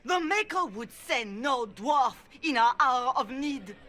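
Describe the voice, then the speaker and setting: An actress playing a stern, self-righteous high cleric in a fantasy game, giving solemn, accusatory oratory. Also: female voice, expressive, slow, bright, forceful tone, a faint crowd, a little hiss or hum, exaggerated voice. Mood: solemn, accusing. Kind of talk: dramatic fantasy game acting.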